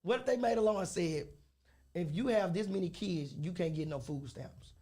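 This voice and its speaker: A man co-hosting a podcast in casual chat, animated and laughing often as he talks. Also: high voice, normal speed. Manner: animated, laughing, swearing freely. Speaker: podcast co-host.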